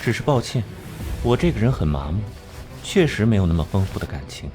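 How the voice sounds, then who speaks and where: An actor voicing a cold, aloof genius detective in an animated drama, lecturing with mocking detachment. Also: male voice, on the low side, a little fast. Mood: detached. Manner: mocking, lecturing, coolly rational. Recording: music behind the voice.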